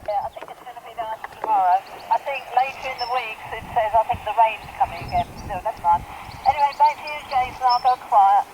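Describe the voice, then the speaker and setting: A woman's voice: a little high, fast talker. A woman making casual small talk over a two-way radio from outdoors. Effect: two-way radio transmission. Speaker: amateur radio operator. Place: outdoors on a hillside. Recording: noisy two-way radio signal.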